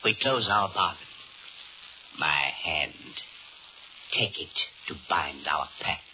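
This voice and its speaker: A man's voice: deep voice, lively intonation, takes it slowly. A man playing a suave, sinister Devil in a 1930s radio horror drama, formal and courtly, mocking and commanding. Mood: coaxing, menacing. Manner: formal, courtly, menacing, theatrical. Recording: muffled, noisy 1930s radio recording.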